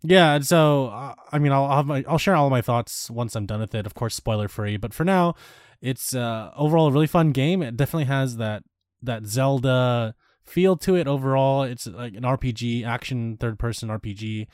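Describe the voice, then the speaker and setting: A man's voice: medium pitch, normal speed, a little mellow, no echo, studio quality. A man co-hosting a podcast, chatting in an easygoing way with lots of fillers and upbeat asides. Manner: easygoing, filler-heavy, enthusiastic. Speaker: podcast co-host. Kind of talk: casual chat.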